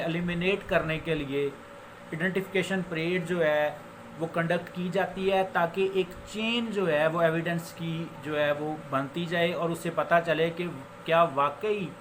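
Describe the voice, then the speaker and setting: Male law lecturer, Pakistani accent, higher-pitched voice, didactic and repetitive, English legal terms mixed in, faint noise behind. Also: fairly bright tone, rough recording. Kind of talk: informal explanatory law lecture. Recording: noticeable background noise.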